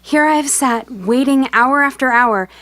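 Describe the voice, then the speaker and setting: An actress playing a long-suffering, fretful poor peasant wife in an audio folktale, theatrical in delivery. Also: female voice, high-pitched, unhurried, a bit bright. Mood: scolding. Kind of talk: audio storybook character acting.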